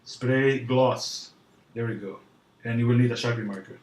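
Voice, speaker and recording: A man putting on a French accent as he presents an informal how-to tutorial in a hesitant, halting way. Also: medium pitch, level tone, a little mellow. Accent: put-on French. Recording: roomy echo, faint background noise.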